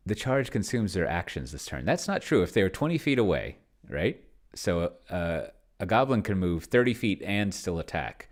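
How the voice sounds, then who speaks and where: Deep-voiced man thinking aloud, casually rambling. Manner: rambling, musing, trails off into mutters. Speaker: tabletop game player and video creator. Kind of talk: casual screen-share commentary, thinking aloud.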